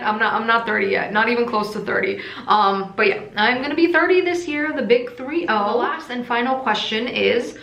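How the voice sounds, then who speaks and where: A woman's voice: a little high, bright, forceful tone, echoey room. A woman in her late twenties with an American accent, chatting casually and rambling with giggles as she answers viewer questions for her crystal vlog. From Russia, raised in the United States. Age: 20s. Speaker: crystal shop owner and vlogger. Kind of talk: casual Q&A chat to camera.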